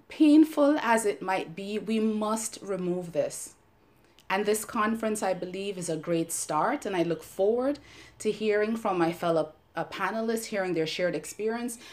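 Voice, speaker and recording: A middle-aged woman from Jamaica with a Jamaican accent, giving an earnest, measured personal talk as a podcast host and panelist. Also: mid-range voice, darkish tone. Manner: earnest, measured, with some emotion and restarts. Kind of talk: prepared personal talk on race.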